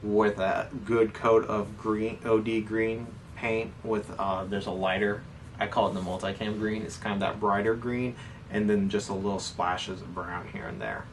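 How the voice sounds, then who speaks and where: A man's voice: on the low side, level tone, moderate pace. A man co-hosting a casual hobby chat, explaining things in an informal way. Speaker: co-host of a hobby show. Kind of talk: casual question-and-answer chat about airsoft. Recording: distant mic with faint background noise.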